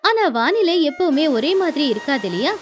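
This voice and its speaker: A woman narrating a scripted children's story as an expressive storyteller. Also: high-pitched, brisk, soft background music.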